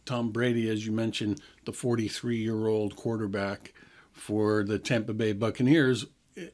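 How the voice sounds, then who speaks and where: A man's voice: lowish voice, steady tone, measured pace, a little mellow. Chatty man in his sixties hosting radio. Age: 60s. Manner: chatty, explanatory, laughs easily. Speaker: radio show host. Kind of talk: casual radio talk.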